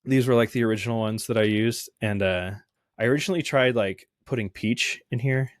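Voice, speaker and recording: A man's voice: mid-range voice, fairly quick, dry recording, clean studio sound. A young man, an indie game developer, talking casually in an interview and rambling with many fillers and restarts.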